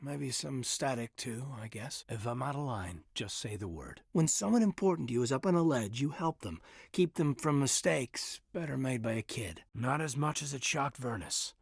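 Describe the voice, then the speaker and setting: An actor playing an earnest, guarded soldier in his thirties in a video game, speaking in a husky, measured, introspective way. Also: mid-range voice, expressive, no echo, clean studio sound. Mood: tender, hesitant. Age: 30s. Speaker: video game companion character. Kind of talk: naturalistic game dialogue acting.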